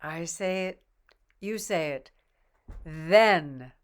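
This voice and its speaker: A woman teaching English pronunciation, patiently modeling each word twice in a slow drill and encouraging the learner. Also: on the low side, clear, resonant tone.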